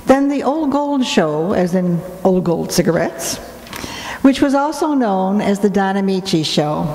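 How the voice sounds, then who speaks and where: A woman's voice: medium pitch, a little mellow. A woman presenter giving a scripted introduction to a live radio play in a reverberant hall. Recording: echoey hall, long reverb, background noise.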